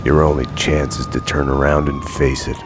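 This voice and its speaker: A man playing a brooding, haunted detective in a noir game, narrating grimly and deliberately in a gravelly voice. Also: very deep voice, level tone, very noisy. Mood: bleak, brooding. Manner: grim, deliberate, world-weary. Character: brooding, haunted male detective narrating his own downfall.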